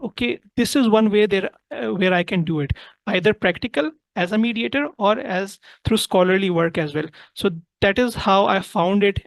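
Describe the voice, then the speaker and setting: Pakistani-accented speaker, close dry sound. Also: female voice, mid-range voice, no echo, rough recording. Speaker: interview guest, mediator. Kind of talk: personal storytelling in an interview.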